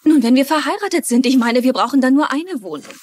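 A woman's voice: sits high, lively intonation, fast, slight background noise. An actress playing an insistent, dramatic society woman in her thirties in a dubbed TV drama. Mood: eager, coaxing. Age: 30s. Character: indignant 35-year-old society girlfriend pushing for marriage. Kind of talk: German-dubbed TV drama acting.

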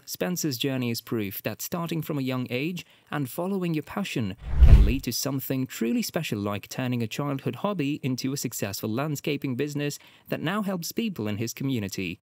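A man's voice: mid-range voice, darkish tone, no room sound. A man reading a scripted documentary voiceover as the narrator, with an upbeat, informative storytelling delivery on a close studio mic.

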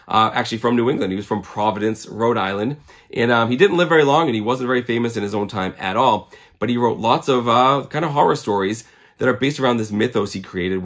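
Low-voiced man, slightly hoarse from a cold. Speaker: comic collecting video host. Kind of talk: casual chat to camera. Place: small indoor room lined with comics.

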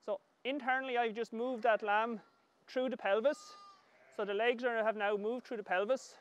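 A middle-aged man with an Irish accent giving calm, measured hands-on instructional commentary as an agriculture professor. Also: very high-pitched, muffled recording. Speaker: agriculture professor demonstrating lambing. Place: large open livestock shed with straw pens.

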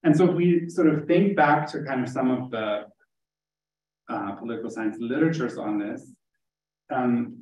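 A man's voice: medium pitch, normal speed, a little mellow. Distant, roomy male academic lecturer from Germany. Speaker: academic researcher giving a seminar talk. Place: indoor seminar room, streamed over video call. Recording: roomy, distant mic in seminar room.

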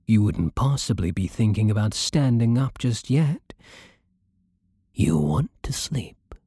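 Breathy, slow British vampire king, acted by a man. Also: on the low side, dry recording, studio quality, exaggerated voice. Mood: soothing, possessive. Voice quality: soft, breathy, husky. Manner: slow, hypnotic, imperious endearments. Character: possessive, seductive, commanding male vampire king. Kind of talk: intimate ASMR roleplay.

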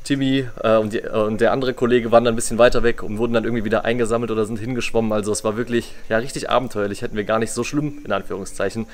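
A man's voice: lowish voice, fairly even intonation, rapid-fire, hardly any pauses. A young man telling a story casually as a travel vlogger, speaking to a camera on a covered terrace. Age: young adult.

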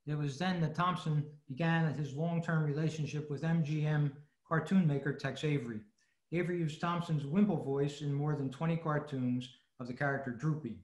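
A man reads a prepared talk as presenter of a club meeting. His fairly high voice comes over a roomy video call. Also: fairly even intonation, normal speed, a little mellow. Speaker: presenter at an old-time radio club. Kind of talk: scripted presentation.

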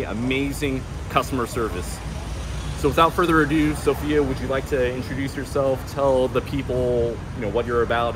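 A man in his thirties, a vlog host, talking to camera in a casual, upbeat way while walking around outdoors. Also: medium pitch. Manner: chatty, upbeat, talks with his hands. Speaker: vlogger host. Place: outdoors on a city plaza and rooftop. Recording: outdoor street noise behind. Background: traffic and city ambience.

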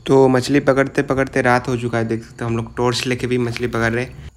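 A man's voice: mid-range voice, monotone, brisk. A young man vlogging outdoors by a river, rambling with many filler words as he explains what is happening. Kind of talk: casual vlog narration.

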